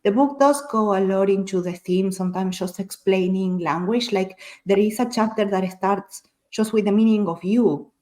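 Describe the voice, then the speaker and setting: A woman's voice: mid-range voice, moderate pace, hardly any pauses, darkish tone. A woman whose first language is Spanish, talking casually as a panelist in a book discussion, explaining her thoughts in a considered way.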